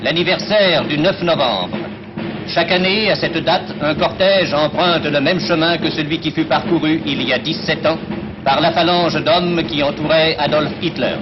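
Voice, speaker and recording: A man's voice: a little high, moderate pace. A man narrating a 1940s newsreel in a formal, declamatory announcer delivery, on an old soundtrack. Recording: dull vintage 1940 newsreel soundtrack. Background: music.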